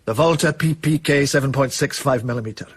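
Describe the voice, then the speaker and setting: A man's voice: medium pitch, fairly quick, slightly rough, close to an everyday voice. A man playing a deferential military armourer in a radio drama, terse and matter-of-fact.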